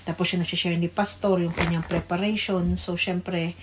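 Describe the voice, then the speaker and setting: Lowish-voiced Filipino woman over background noise. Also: level tone. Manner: halting, thinking aloud. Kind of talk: unscripted devotional talk.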